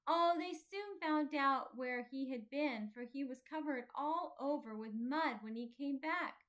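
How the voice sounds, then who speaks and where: A woman voicing a quiet, thoughtful young pilgrim boy in a children's storybook, speaking earnestly and plainly. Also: high-pitched, a little slow. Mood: earnest, chatty.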